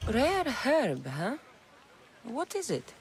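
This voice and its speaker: An actress playing a tough ancient Greek mercenary in a video game, with a dry, sardonic manner. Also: female voice, on the high side, huge pitch swings, drawn-out words, a little hiss or hum, full character voice. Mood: curious, businesslike. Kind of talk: video game cutscene acting.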